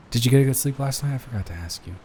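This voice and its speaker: A man playing a nervous, adoring young boyfriend in an improvised intimate roleplay audio, speaking in a halting, bashful, rambling way. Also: mid-range voice, a little mellow, slight background noise, lightly acted. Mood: tender, rambling.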